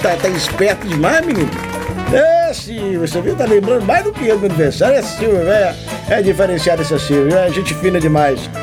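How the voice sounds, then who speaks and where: A man hosting a call-in radio show, greeting callers warmly and humming along. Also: high voice, brisk, music in the background. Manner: lively, affectionate, sings along in bits. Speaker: radio host.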